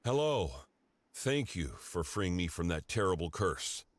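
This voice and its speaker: A man's voice: low voice, big pitch swings, unhurried, no echo, exaggerated voice. An actor playing a grave, devoted father and former werewolf commander in a video game, with an earnest, dramatic delivery. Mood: grateful, earnest. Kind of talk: scripted video game character dialogue.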